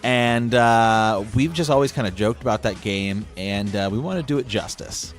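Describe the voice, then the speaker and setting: Male podcast host, lower-pitched, casual conversational delivery, faint music underneath. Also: moderate pace.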